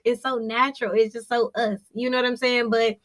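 A young woman co-hosting a casual podcast chat, animated and slangy, laughing often and checking in with her listener. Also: on the high side, fairly quick. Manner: animated, laughing, slangy, often says "you know what I'm saying". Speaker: podcast co-host.

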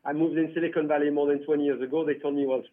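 A man's voice: fairly high pitch, little pitch movement, rapid-fire. A man from France with a French accent, a tech founder chatting through an interview with lots of "you know". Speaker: tech company founder, guest. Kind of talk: casual interview. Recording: tinny phone-like line.

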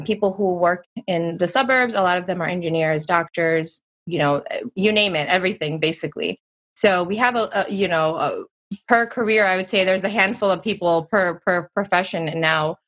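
A woman's voice: lowish voice, moderate pace, fairly bright tone. Chatty woman in her thirties. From United States. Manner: chatty and reflective, many fillers. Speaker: interview guest. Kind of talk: casual interview talk.

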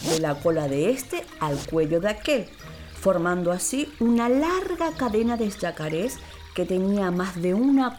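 A woman's voice: fairly low pitch, darkish tone, slight background noise. A woman giving scripted narration of a children's radio play as an actress. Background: music bed.

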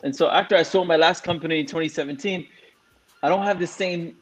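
A man's voice: fairly high pitch, fast, husky. A man in his forties, from Singapore, speaking as a guest in a frank, casual way with many fillers. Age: 40s. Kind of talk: casual interview answer.

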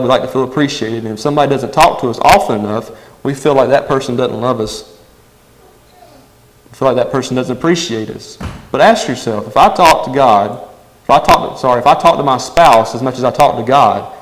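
A man's voice: medium pitch, poor audio. A man preaching a sermon, earnest and emphatic, often asking his listeners "right?".

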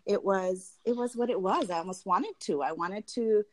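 A woman's voice: medium pitch, moderate pace, darkish tone. A young woman from Tanzania, a guest in an interview, talking through her own experiences in a friendly, reflective way with many fillers. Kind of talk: candid personal interview.

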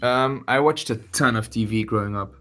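A young man from Belgium with a Belgian Dutch accent, a musician talking casually as a podcast guest, halting with many fillers and restarts. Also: mid-range voice, a bit bright, faint music behind. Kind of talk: casual interview chat.

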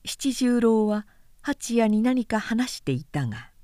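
A woman reading literary fiction aloud as an audiobook narrator, with measured and carefully enunciated delivery. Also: a little high, big pitch swings, dark tone, no echo, studio quality.

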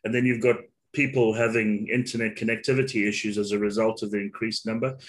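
A man's voice: fairly low pitch, steady tone, quick pace. A man from Zimbabwe, a podcast guest, chatting easily on a video call, often laughing and restarting his sentences. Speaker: podcast guest, business owner. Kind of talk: casual conversation over a video call.

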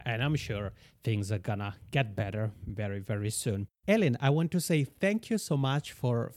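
A man from Switzerland hosts an interview podcast at a mid-range pitch. He asks friendly, long questions, and his voice is recorded dry and close. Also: a little mellow, dry recording. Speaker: podcast host.

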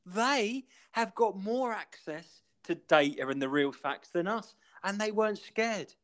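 Rambling man with lively pitch. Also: unhurried, a little hiss or hum. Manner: rambling, ranting, breaks into laughter. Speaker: podcast co-host. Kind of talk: casual chat.

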